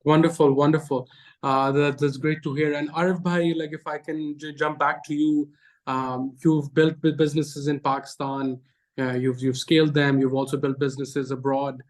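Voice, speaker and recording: Flat-voiced halting male moderator. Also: a little high. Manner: halting, repeats words and restarts often. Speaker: panel moderator. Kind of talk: moderating a panel discussion.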